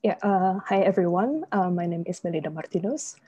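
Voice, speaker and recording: A woman from Indonesia, a researcher answering interview questions in a measured way with many filler pauses. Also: on the low side, lively intonation, warm tone, husky, no echo, dull audio. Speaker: guest researcher.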